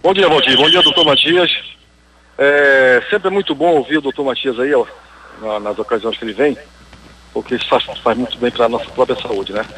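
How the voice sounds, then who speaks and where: A man's voice: medium pitch, a little fast, noisy background. A man in his fifties phoning into a radio show, chatty and effusive before asking his question. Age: 50s. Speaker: caller. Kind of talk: phone-in question. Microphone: phone line.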